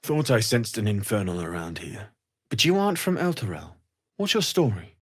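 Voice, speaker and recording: Gentle game blacksmith, husky, soft-spoken, earnest, world-weary, sincere concern. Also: male voice, lowish voice, sing-song, moderate pace. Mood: friendly, sincere. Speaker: video game character, smith and merchant. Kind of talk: video game voice acting.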